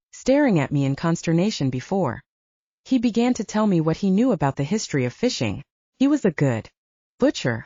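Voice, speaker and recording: A woman with a lower-pitched, mellow voice narrates an audiobook in the first person, her pitch moving a lot as she tells the story. The sound is dry and a little dull, like a lo-fi home recording. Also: dry recording.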